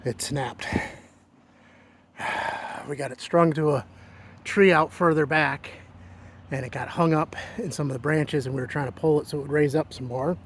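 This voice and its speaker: A man's voice: on the high side, fairly even intonation, normal speed, breathy edge. A man talking casually in his vlog, plain-spoken with a wry, exasperated edge. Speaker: ham radio vlogger.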